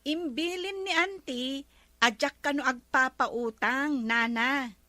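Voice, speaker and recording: A woman's voice: high voice, takes it slowly, sharp tone, no room sound, full character voice. A woman playing a guarded, argumentative village store woman in an Ilocano comedy drama. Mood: firm, argumentative.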